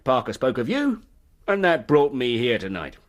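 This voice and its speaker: An actor in a radio drama playing a genial, persuasive company recruiter, effusive and patronising. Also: male voice, medium pitch, big pitch swings, moderate pace, lightly acted. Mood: confidential.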